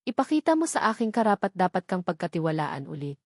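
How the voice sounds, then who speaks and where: Woman acting a hurt young woman in love, calm, firm, deliberate, romance audio drama, dry close sound. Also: on the low side, no room sound. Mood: firm, hopeful.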